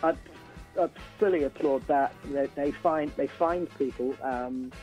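A man's voice: medium pitch, unhurried, darkish tone, faint music behind, rough recording. An older man, a band musician, talking casually as a radio interview guest, opinionated and repeating words as he builds his point.

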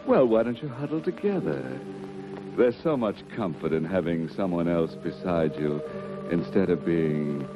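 An actor playing a ghoulishly playful, mock-courteous host, introducing an old-time mystery show with teasing, theatrical relish. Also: male voice, low-pitched, animated, a little slow. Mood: macabre, teasing. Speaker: horror anthology host. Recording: old muffled film soundtrack with music.

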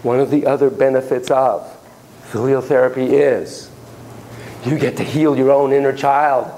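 Older male lecturer, gravelly, animated and chatty; recorded in a classroom from the back of the room, with faint room noise. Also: medium pitch, moderate pace, low-quality recording. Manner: animated, chatty, quoting clients in a raised voice. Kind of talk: university lecture. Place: classroom with students. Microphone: far camera at the back of the room.

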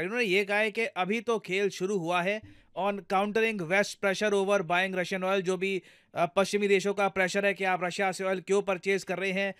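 A man's voice: high voice, fairly bright tone, clean tone, dry recording, clean studio sound. A man with an Indian accent giving explanatory news commentary in a conversational way, addressing viewers directly and going step by step. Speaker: news commentator, video host.

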